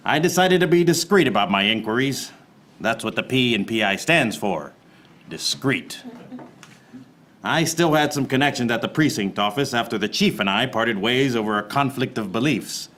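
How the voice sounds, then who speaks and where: An actor playing a wisecracking, hard-boiled private eye, narrating a noir parody radio play in a deadpan, wry way. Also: male voice, mid-range voice, moderate pace, close to an everyday voice. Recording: noticeable background noise.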